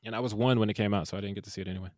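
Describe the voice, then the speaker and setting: A man co-hosting a casual movie review podcast, animated and jokey with quick asides. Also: fairly low pitch, dry recording, clean studio sound. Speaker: podcast co-host.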